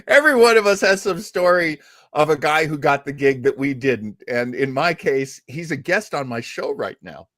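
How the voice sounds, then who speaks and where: A man's voice: fairly high pitch, expressive, moderate pace, fairly bright tone, no echo, clean studio sound. A man hosting a casual talk show discussion, emphatic and given to repeating phrases to make his point. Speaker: podcast host.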